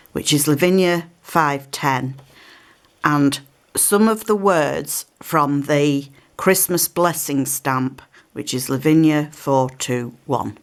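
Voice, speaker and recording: Low-voiced woman with a cold. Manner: relaxed, chatty, explains while working. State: has a cold, occasional coughs. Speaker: craft tutorial presenter. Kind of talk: step-by-step craft demonstration. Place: indoors at a craft table. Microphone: overhead camera on table, hands shown.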